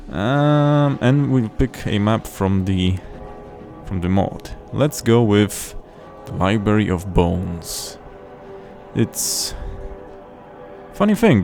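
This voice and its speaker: A man's voice: fairly low pitch, gravelly, a little mellow. A young man chatting casually as host of a strategy-game playthrough, often restarting his phrases as he explains. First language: Polish. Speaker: gaming channel host. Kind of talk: casual gameplay commentary.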